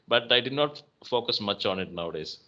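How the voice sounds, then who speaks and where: A man with an Indian English accent talks in a loose, easygoing way, filling pauses and laughing lightly. His voice is bright and forward. The call audio sounds dull and lo-fi. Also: lowish voice. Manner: relaxed, chatty, many fillers and laughs. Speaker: channel host. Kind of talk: casual call conversation.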